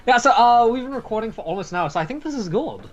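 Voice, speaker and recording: A man chatting casually while playing a game with a friend. He rambles, restarts his sentences and often hedges with "I guess." His pitch rises and falls a lot, and faint game music plays behind him. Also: expressive, fast, dull audio. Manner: rambling, self-correcting, frequent "I guess". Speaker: let's-play host. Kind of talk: casual co-op gameplay chat.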